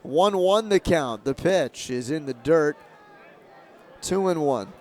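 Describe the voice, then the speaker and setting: A man doing live radio play-by-play of a baseball game, describing the action in short, clipped, measured phrases. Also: a little high, expressive. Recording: clear outdoor ballpark noise behind.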